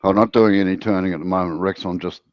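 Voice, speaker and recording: A man's voice: sits low, fairly even intonation, fast talker, dry recording, lo-fi sound. An Australian man hosting a woodturning livestream, chatting casually with viewers in a laid-back, drawling way and sounding breathless. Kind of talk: casual chat with viewers and co-host.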